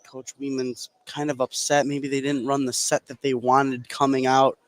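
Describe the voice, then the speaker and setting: A man's voice: mid-range voice, flat delivery, normal speed. A man as color commentator on a live basketball broadcast, giving conversational analysis courtside in a gym. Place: gymnasium, courtside at a game.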